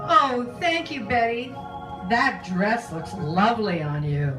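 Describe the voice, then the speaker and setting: A woman playing a prim, smug housewife in a vintage radio advert parody, speaking coyly and genteelly. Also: on the high side. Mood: sweet, smug. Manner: coy, genteel, deliberate. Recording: distant echoey stage, music behind.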